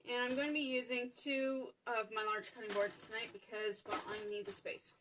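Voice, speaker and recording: A woman with a Canadian accent, her voice pitched a little high, hosts a live cooking stream from her home kitchen. She is chatty and apologetic, greeting viewers by name as she explains each step. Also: level tone, normal speed, background noise. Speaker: cooking show host.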